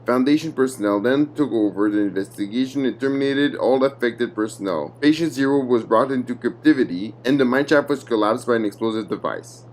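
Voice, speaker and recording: A man narrates a clinical horror document in a formal, measured way. His pitch is average for a man. The recording has a faint hum and noise behind the voice.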